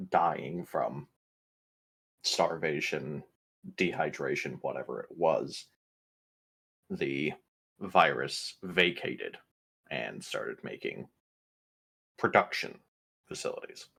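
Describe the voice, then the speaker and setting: A man's voice: extremely low pitch, animated, slow pace, gravelly, pauses often, a bit bright, slightly rough. A man playing a tabletop roleplaying game, talking casually as he reasons through the story with the group.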